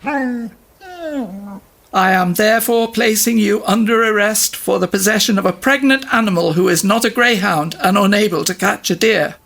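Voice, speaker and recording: An actor plays an officious sheriff of olden days in a radio play. The delivery is pompous and formal, with humourless, pedantic weight on every word, at a middling pitch. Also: moderate pace, vocal fry, a bit bright. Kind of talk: audio drama dialogue.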